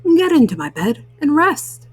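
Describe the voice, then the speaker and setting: A woman's voice: high-pitched, big pitch swings, a little slow, pitched above the performer's own voice. A woman voicing a well-meaning, fretful male toad from a storybook, earnest and a little fussy. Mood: concerned.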